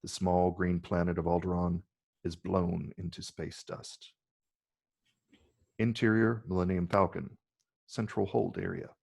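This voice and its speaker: A man's voice: sits low, level tone, a little slow, gravelly, pauses often, a little mellow, breathy edge. A man narrating stage directions in a scripted reading.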